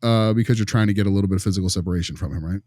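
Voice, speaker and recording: A man's voice: low voice, rapid-fire, warm tone, no room sound. A man speaking casually and colloquially as a podcast panelist, with a wry, wordy way of talking. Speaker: podcast panelist, behavior specialist. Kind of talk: casual discussion and case role-play.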